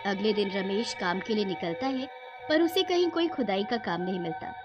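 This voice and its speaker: A woman's voice: mid-range voice, expressive, normal speed, highs cut off. A woman narrating a scripted cartoon story, with music playing behind her.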